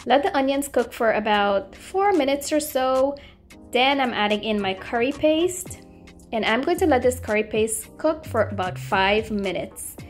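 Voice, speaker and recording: A woman's voice: fairly high pitch, expressive, unhurried. A woman cooking host narrating a recipe voice-over in a friendly, polite way. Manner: friendly, polite, appreciative greetings to viewers. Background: background music.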